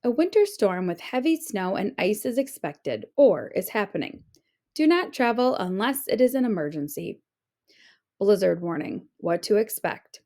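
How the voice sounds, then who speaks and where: Woman reading aloud with very lively intonation. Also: mid-range voice. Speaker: teacher reading a children's book aloud. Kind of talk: scripted read-aloud of an informational children's book.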